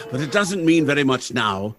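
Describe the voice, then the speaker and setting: A man's voice: medium pitch, sing-song, unhurried, fairly bright tone, faint music behind. A man playing a troubled, grave factory director and husband in a dramatic 1930s-style radio play.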